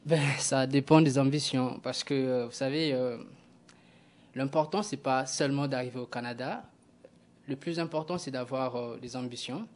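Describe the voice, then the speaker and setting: A young man answers questions as a TV studio guest. His delivery stays flat and even, and he pauses often with filler sounds while searching for words. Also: medium pitch. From Kinshasa, DR Congo. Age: young adult. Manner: hesitant, many filler sounds. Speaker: guest, graduate student. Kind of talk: interview answers.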